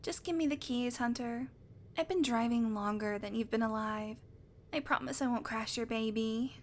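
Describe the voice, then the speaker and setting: A woman's voice: on the high side, a little hiss or hum, close to an everyday voice. A woman playing a sarcastic, teasing vampire who swears casually, in a conversational audio roleplay. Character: sardonic female vampire, unrepentant predator, teasing. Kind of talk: audio roleplay acting, conversational monologue to listener.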